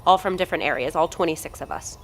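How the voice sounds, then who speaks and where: A woman's voice: low voice, fast, faint music behind. Woman acting haughty, callous scientist. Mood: cool, dismissive. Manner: haughty, cutting, emphatic. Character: arrogant, callous, impatient female research doctor in charge. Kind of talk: scripted audio drama dialogue.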